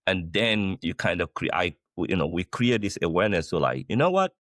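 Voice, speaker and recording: Low-voiced man from Guinea, animated guest. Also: normal speed, no echo, clean studio sound. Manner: animated, speaks with many "like" fillers and rephrasing. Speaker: podcast guest, company founder. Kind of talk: casual conversational interview. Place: the Netherlands.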